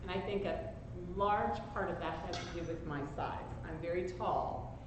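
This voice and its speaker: A woman with a slightly low voice speaks in a reflective, candid way about acting. The room around her is very echoey, with steady background noise. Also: moderate pace. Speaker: community theater actor. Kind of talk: casual cast chat.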